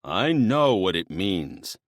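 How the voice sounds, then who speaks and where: A man voicing a grumbling, reluctant male god with a gruff, irritable manner. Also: low-pitched, sing-song, moderate pace, no echo, studio quality. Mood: irritated.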